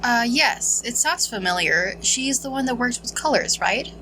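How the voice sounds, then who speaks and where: A woman's voice: fairly high pitch, animated, moderate pace, noisy background. A woman giving casual, conversational playthrough commentary, skeptical and thinking aloud as a gaming streamer. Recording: faint game music behind.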